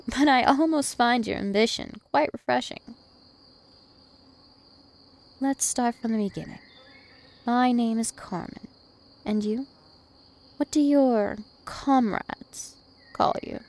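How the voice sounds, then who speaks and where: Woman playing a slow-talking, haughty vampire princess. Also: a little high, a little mellow. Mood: mocking amusement. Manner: slow, haughty, teasing menace. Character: two-thousand-year-old vampire princess, female, dominant, taunting, imperious. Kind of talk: ASMR roleplay acting.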